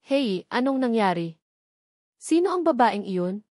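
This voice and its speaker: A woman's voice: fairly high pitch, big pitch swings, measured pace, darkish tone, no room sound, studio quality. A woman voicing a serious, concerned man who questions insistently, in an audiobook. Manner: serious, probing.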